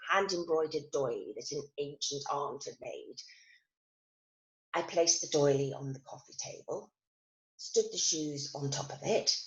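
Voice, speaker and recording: An actress playing a self-critical, wry and wistful woman recovering from an accident, telling her story in a theatrical monologue with deliberate pauses. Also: deep voice, muffled recording. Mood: wistful, absorbed. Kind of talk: dramatic monologue reading of new writing. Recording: roomy, echoing online recording.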